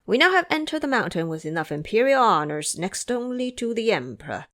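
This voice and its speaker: A woman's voice: mid-range voice, sing-song, brisk, a bit bright. A woman playing a haughty, hot-tempered young crown prince in an audio drama, speaking in an imperious, formal way. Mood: imperious anger.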